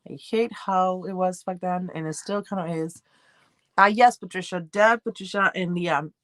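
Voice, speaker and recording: A woman's voice: on the low side, moderate pace, a bit bright, no echo. A woman from Argentina with a light Argentine accent, hosting a casual livestream and rambling as she answers viewers' comments. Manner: chatty, rambling, reads and answers chat comments. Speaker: livestream host.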